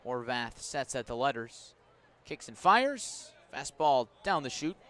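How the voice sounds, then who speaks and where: A man doing live baseball radio play-by-play, with a measured delivery that lifts on action calls and descriptive asides between pitches. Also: big pitch swings, bright, forceful tone. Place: outdoor ballpark broadcast position above the stands.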